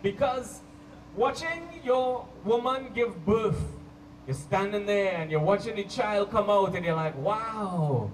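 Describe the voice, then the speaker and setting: A man with a Canadian accent performing stand-up comedy to a live audience, telling stories playfully with drawn-out emphasis and pauses. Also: sits high, echoey room. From Toronto, Canada. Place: live comedy show at a park venue. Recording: distant mic, hissy live-show camcorder sound.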